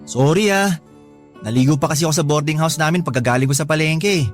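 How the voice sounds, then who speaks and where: A man plays a smooth-talking young suitor with a fairly high voice, charming on the surface but pushy and demanding. Faint music plays behind him in this radio drama. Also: fast, natural voice. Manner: charming at first, then demanding. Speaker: suitor Dennis.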